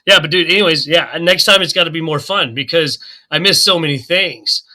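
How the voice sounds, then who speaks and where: Lively chatty man from oklahoma. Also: fairly high pitch, a little fast. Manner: animated storyteller, laughs often, acts out quotes. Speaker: group call participant. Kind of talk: casual chat.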